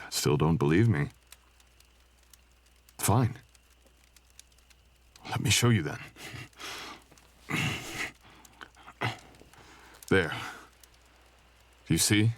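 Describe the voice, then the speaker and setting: A man playing a brooding, gentle dragonkin stranger in an intimate roleplay audio, speaking softly and hesitantly in courtly phrasing. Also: animated, slow, long pauses, full character voice. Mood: self-loathing. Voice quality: low, gravelly, husky. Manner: soft, hesitant, tender, archaic courtly phrasing.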